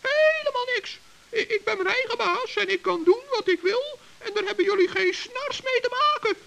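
A tiny, childlike old gnome in a children's radio play. The voice is extremely high, creaky and bright, chattering with self-importance and excitable pride. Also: male voice, moderate pace, heavily acted. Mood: indignant. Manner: chatty, self-important, stammers when flustered. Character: small excitable proud forest gnome, elderly and childlike.

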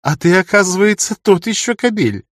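Guy doing a lively young woman for an audiobook, pitched up, bit raspy, playful and pushy. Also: brisk, no room sound, clean studio sound. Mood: teasing. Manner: playful, expressive. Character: lively young woman, teasing, bold and direct. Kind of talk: audiobook character voice.

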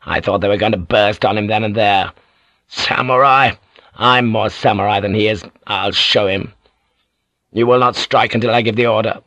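A man's voice: fairly low pitch, fairly even intonation, moderate pace, pushed and bright, no room sound. A man voicing a fierce, scheming older samurai lord, proud and commanding, in an audiobook performance. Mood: boastful scorn. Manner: imperious, emphatic, giving orders. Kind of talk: audiobook character acting.

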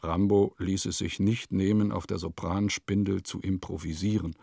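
A man's voice: low voice, steady tone, normal speed, creaky, warm tone, no echo, clean studio sound. A man playing a solemn, self-important composer reading from his journal in a formal, pompous way for a radio play. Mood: solemn, proud. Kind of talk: diary read as scripted radio-play narration.

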